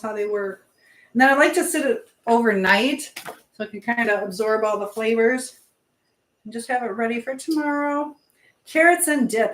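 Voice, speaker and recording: A woman's voice: a little high, a little hiss or hum. A woman chatting casually to viewers on a live stream, rambling along and thinking aloud. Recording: echoey, roomy sound.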